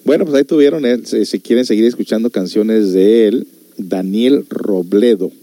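A man's voice: on the low side, normal speed, mellow, dry recording. A man hosting a show, talking casually to listeners in a musing, conversational way with rhetorical questions. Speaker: host of a music and reflection show.

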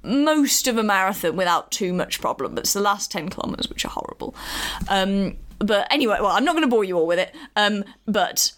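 A British woman co-hosting a podcast in casual chat, jokey and quick to laugh. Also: mid-range voice, animated, a bit bright. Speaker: podcast co-host.

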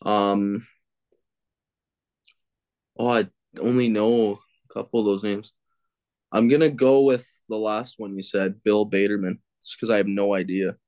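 A man with a fairly low voice chats casually as a podcast guest. He fumbles and hesitates, then swears at himself with self-deprecating humor when he blanks. The recording is dry and close. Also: fairly even intonation, unhurried, long pauses, a little mellow, no room sound. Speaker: podcast guest, film critic. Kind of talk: casual chat.

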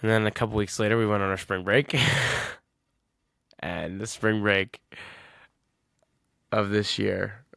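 Gravelly low-voiced young man musing. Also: steady tone, measured pace, pauses often, a bit bright, dry recording, studio quality. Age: 20s. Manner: rambling, casual, self-correcting. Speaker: podcast host. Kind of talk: reflective solo podcast monologue.

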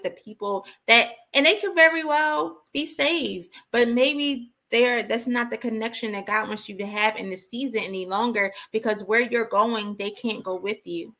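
A woman's voice: a little high, bright, forceful tone. A woman giving an impassioned, informal sermon as a preacher, exhorting emphatically and repeating key phrases.

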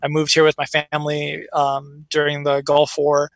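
American male guest, higher-pitched, gravelly edge, rambling casual storyteller. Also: monotone, normal speed, no echo. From born in Iraq, raised in Chicago, United States. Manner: rambling, informal, frequent filler "like". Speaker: interview guest. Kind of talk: casual conversation, personal stories.

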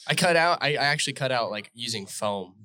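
A man hosting a casual chat, relaxed and full of "like" fillers. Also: mid-range voice, a little fast. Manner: relaxed, filler-heavy questions.

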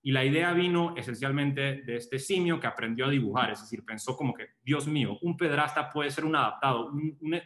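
A man's voice: medium pitch, roomy sound. Guy chatting about a novel, reads quotes out, voice bounces around the room. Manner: animated, reads quotations aloud. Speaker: book discussion host. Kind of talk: casual literary discussion.

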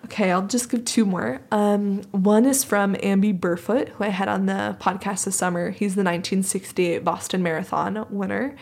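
A woman's voice: mid-range voice, fairly even intonation, normal speed, gravelly, hardly any pauses, a little mellow. A woman speaking as a podcast host in a reflective, conversational interview, sounding earnest and appreciative.

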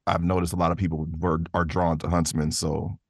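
A man with a deep voice talks casually as an interview guest. He is easygoing and modest as he tells his stories, and the sound is dry with no room echo. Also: little pitch movement, a little fast, gravelly, breathy edge. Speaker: interview guest, voice actor and creator. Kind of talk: casual conversational storytelling.

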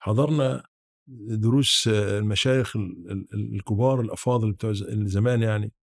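A man in his seventies with an Egyptian accent, reminiscing in an interview in a halting way with repeated false starts. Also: fairly low pitch, vocal fry, dry recording, clean studio sound. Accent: rural-born Egyptian Arabic. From Sharqia, Egypt. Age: 70s. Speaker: podcast guest, academic.

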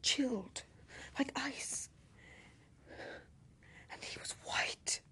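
An actress playing an anxious, fretful British housewife and mother in a radio drama, speaking in agitated, halting phrases that drop into whispers. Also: bright, forceful tone. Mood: frightened.

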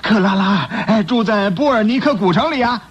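Nervous suck-up gangster lackey, high voice, radio play style, hesitant and eager, dull lo-fi sound. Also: male voice, expressive, normal speed, a little hiss or hum. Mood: breathless, eager. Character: nervous, servile male gangster henchman reporting to his boss. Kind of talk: theatrical radio drama acting. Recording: muffled lo-fi radio drama recording.

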